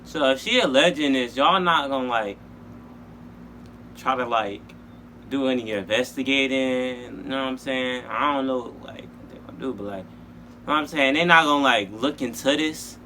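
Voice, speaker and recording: A man hosting a reaction video in casual, slangy chat with halting, repetitive phrasing. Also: mid-range voice, bright, forceful tone, slight background noise.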